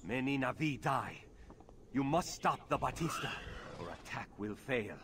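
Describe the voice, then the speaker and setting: Fierce alien fighter, broken english, swingy lowish voice, noisy battle backdrop. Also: male voice, expressive, unhurried, fairly bright tone. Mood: grim. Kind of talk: video game dialogue acting.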